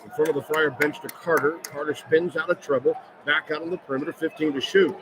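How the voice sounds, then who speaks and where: Male radio play-by-play caller, high pitch, clipped excitable basketball delivery over loud arena noise. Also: quick pace. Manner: clipped running phrases, excitable on big plays. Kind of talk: live basketball play-by-play commentary. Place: courtside at a large indoor arena. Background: loud game ambience.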